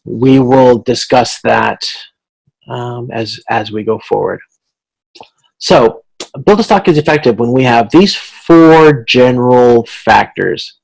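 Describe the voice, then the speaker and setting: A male instructor delivers an informal online-style lecture in a creaky, gravelly voice. His pitch sits in an ordinary middle range. He explains step by step in a halting way, often restating and correcting his phrasing. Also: darkish tone, low-quality recording. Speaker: college course instructor.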